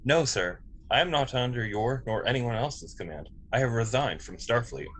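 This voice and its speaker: A man's voice: medium pitch, expressive, normal speed, fairly bright tone, close to an everyday voice. Man acting a formal android officer, noisy recording. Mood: quietly resolute. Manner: formal, precise wording. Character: polite, logical male android officer, calmly dignified. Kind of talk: science fiction script table read. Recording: clear background noise, room ambience.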